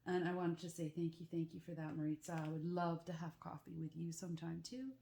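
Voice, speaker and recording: A woman chatting casually at home in a meandering, confiding way, her voice rough and congested from a cold. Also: sits low, fairly even intonation, fairly quick, dark tone, slight background noise. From Canada. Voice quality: rough, croaky. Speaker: craft vlogger. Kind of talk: casual chat while crafting. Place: at home with the back door open.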